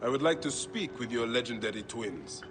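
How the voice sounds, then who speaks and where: An actor playing a grim, vengeful warrior protector of ancient Egypt in a video game, solemn and terse. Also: male voice, mid-range voice, lively intonation, moderate pace, music in the background, natural voice.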